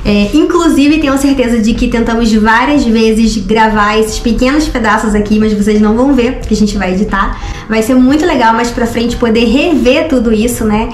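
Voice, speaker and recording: A woman's voice: a little high, normal speed. A woman in her twenties with a Rio de Janeiro accent, telling a story casually to the camera as a vlogger. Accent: Rio de Janeiro Brazilian Portuguese. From Rio de Janeiro, Brazil. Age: 20s. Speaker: vlogger, co-host of a couple's travel channel. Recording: roomy echo, music behind.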